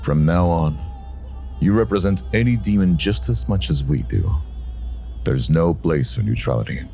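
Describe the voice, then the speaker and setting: A man's voice: sits low, animated, normal speed, vocal fry, mellow, faint music behind. A man playing a stern, realistic demon of royal rank in an audio drama, speaking in a sober, dry, formal manner.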